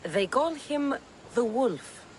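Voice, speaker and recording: A woman's voice: a little high, expressive, slow, darkish tone, a little hiss or hum. An actress playing a confident, wry female mercenary in a video game cutscene. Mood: matter-of-fact.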